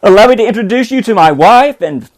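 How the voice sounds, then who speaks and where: A delighted young nephew calls out an excited, high-pitched welcome, as a man puts him on for a storybook reading. His voice bounces up and down. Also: quick pace.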